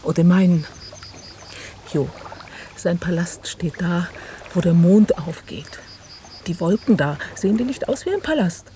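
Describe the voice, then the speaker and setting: An actress in a German radio drama playing an intimate, urging, uneasy woman biologist with an expressive delivery. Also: lowish voice, measured pace, pauses often, slight background noise. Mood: brooding, urging.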